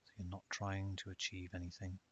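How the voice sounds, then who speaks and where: A man narrating a guided meditation in a calm, measured way with long pauses. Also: low voice, breathy edge. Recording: dull lo-fi audio with hiss.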